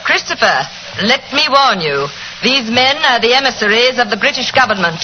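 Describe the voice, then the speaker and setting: An actress playing an imperious, scheming housekeeper with a formal, haughty, theatrical delivery in a 1940s radio drama. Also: female voice, a little high, animated, normal speed, lightly acted. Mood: accusing, defiant. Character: imperious, scheming housekeeper, coolly defiant and falsely gracious. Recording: muffled, noisy 1940s radio recording.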